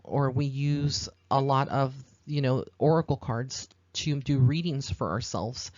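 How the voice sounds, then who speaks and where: Male podcast host, American, medium pitch, earnest rambling explainer, dry and slightly dull recording. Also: a little mellow, no room sound. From Texas, United States. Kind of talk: informal spoken podcast monologue.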